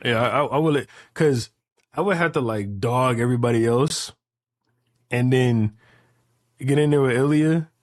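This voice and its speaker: A man's voice: medium pitch, no room sound, clean studio sound. A man in his late twenties chatting casually and rambling through hypotheticals, repeating phrases emphatically with profane slang. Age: late 20s.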